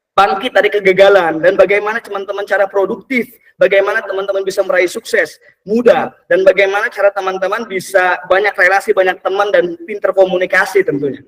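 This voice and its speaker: A man in his early twenties giving an energetic motivational talk to an audience, repeating phrases and calling out to the crowd. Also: high voice, distant mic. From Indonesia. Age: 20s. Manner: energetic, repetitive, calls out "teman-teman" often. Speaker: motivational speaker.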